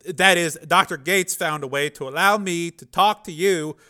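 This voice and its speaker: Narrator putting on a nervous male scientist: stutters, bright, higher and louder than the narration. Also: brisk, lightly acted. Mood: pleading. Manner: stammering, frantic, raised voice. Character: anxious young male scientist, stammering and lying.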